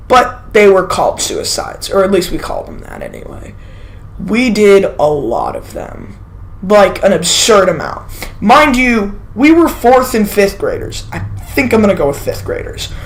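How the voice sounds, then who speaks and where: A sick teenager sniffles through a casual storytime monologue. The talk wanders, with asides, self-corrections and offhand remarks. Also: medium pitch. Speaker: vlogger telling a personal story.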